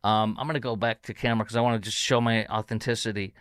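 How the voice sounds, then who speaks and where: A man hosts a talk show from his house, talking in a loose, rambling way full of fillers and restarts that turns excitable. His voice is smooth and resonant, and the sound is close and dry, with no room around it. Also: fairly low pitch, fast talker, dry recording. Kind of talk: casual talk show chat. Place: home studio.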